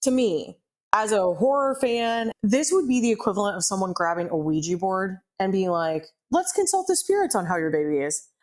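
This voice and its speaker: A woman's voice: mid-range voice, lively intonation, moderate pace, a little mellow. A woman co-hosting a podcast in casual chat, earnestly explaining her views and clarifying herself. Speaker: podcast co-host.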